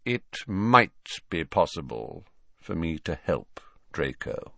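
A man reading an audiobook, voicing a cold, guarded middle-aged wizard who speaks flatly and quietly. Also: very deep voice, gravelly, dry recording, clean studio sound, pitched below the performer's own voice, heavily acted. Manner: flat, quiet, measured.

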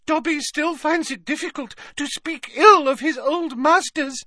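A man voicing a small, excitable, devoted male house elf with a squeaky, earnest voice in an audiobook. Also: unhurried, no room sound, studio quality, exaggerated voice. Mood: panting, grateful. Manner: speaks of himself in the third person, earnest.

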